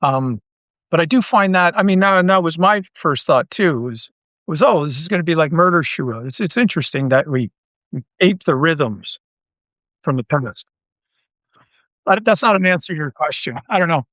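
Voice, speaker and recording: A man's voice: fairly high pitch, expressive, normal speed, a bit bright, no echo. A man speaking casually as an interview guest, thinking aloud in a rambling way with false starts and repeated words. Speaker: interview guest, audio drama writer and creator.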